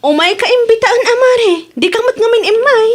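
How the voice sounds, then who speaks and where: A woman playing a chatty, frank village mother in an Ilocano radio comedy drama, animated and teasing, with a Filipino Ilocano accent. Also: very high voice, normal speed. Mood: teasing, insistent.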